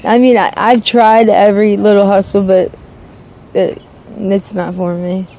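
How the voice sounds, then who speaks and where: Female street interviewee, 30s, mellow tone, halting casual delivery, some traffic noise behind. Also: medium pitch, flat delivery. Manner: hesitant, halting, peppered with "like". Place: outdoors on a city street by a brick wall. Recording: outdoor street noise, passing vehicles.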